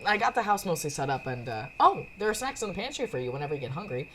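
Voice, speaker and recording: A woman's voice: lowish voice, sing-song, quick pace, slight background noise, low-quality recording. A woman voicing a caring, affectionate young witch in a visual novel, with a fond, chatty manner. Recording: music behind the voice.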